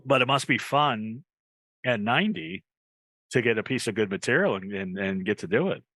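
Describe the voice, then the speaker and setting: Low-pitched man with a bright voice. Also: moderate pace, dry recording. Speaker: podcast host. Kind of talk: casual chat interview.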